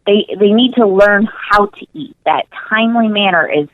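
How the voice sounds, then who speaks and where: A woman's voice: medium pitch, normal speed, no echo, phone-quality sound. A woman speaking as a guest in a casual radio interview, earnest and emphatic, repeating words for emphasis. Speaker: interview guest, nutrition coach.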